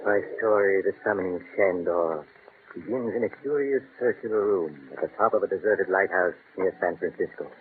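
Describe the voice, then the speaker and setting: An actor playing a sinister, mocking male horror host who narrates in a slow, insinuating way, in a 1940s radio drama. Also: low-pitched, close to an everyday voice. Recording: tinny old radio broadcast, faint hiss.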